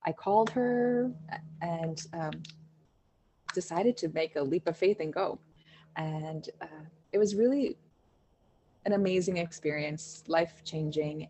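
Woman w a lowish voice chatting about her teaching days, lots of ums, faint hiss behind. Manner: warm storytelling with fillers and restarts. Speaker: Montessori education director, guest. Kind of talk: conversational interview answers.

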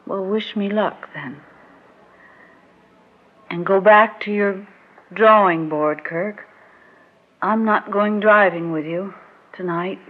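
A woman's voice: medium pitch, takes it slowly, pauses often. An actress playing a proud, guarded woman resisting an old flame, genteel and a little defensive, in classic radio drama style. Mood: testing, hurt. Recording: muffled old radio recording.

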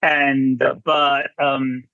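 A man's voice: mid-range voice, flat delivery, drawn-out words, pushed and bright, no echo, muffled recording. A man with a Chilean-tinged New Jersey accent, a physician guest talking in a chatty, self-deprecating way. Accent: Chilean-tinged New Jersey English. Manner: chatty, self-deprecating, many restarts and laughs. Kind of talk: casual podcast interview.

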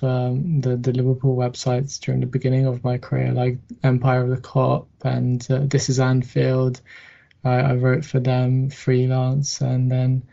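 A young man from Hungary raised in England, a journalist guest on an interview podcast, who speaks haltingly with many fillers and restarts. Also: mid-range voice, gravelly, mellow, lo-fi sound. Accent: English. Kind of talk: casual interview chat.

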